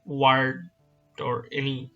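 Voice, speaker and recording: A man's voice: mid-range voice, little pitch movement, very slow, vocal fry, bright, forceful tone, muffled recording. A man giving casual, self-deprecating speculation about a video game, hedging often with filler words. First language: not Chinese. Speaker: gaming YouTuber. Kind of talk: speculative game commentary over slides. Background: background music.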